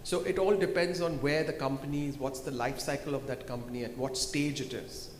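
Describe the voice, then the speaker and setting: A man on a conference panel, speaking confidently as he pitches his company's results. His voice carries through a large hall with a long, roomy echo, and loud ambient noise sits underneath. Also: fairly even intonation, a little fast.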